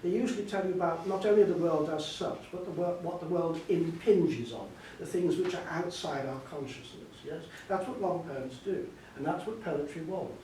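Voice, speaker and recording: Older man, scholar, fairly high voice, discursive and emphatic, repeats himself, very echoey room. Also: moderate pace, hardly any pauses, dark tone. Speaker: author and scholar being interviewed. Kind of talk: extended spoken explanation at a book event. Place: indoor event hall. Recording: echoey hall, distant mic, faint noise.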